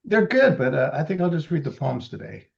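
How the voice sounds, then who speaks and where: A man in his seventies from Los Angeles reads his own poems slowly and deliberately, with halting, chatty asides between them. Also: on the high side, animated, vocal fry, highs cut off. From Los Angeles, USA. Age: 70s. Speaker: poet reading his libretto. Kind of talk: poetry reading with casual asides.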